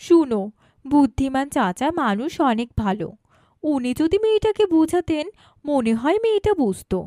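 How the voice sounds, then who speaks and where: Woman acting a high-voiced, stern mother. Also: animated, normal speed, dark tone, no echo, clean studio sound. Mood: advising. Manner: reproachful, commanding. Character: stern, scolding mother of a teenage girl. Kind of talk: cartoon dialogue acting.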